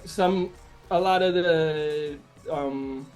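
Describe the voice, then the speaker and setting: A man being interviewed as a musician, chatting casually and reflectively, often halting as he searches for words. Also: fairly high pitch, darkish tone, faint music behind, low-quality recording.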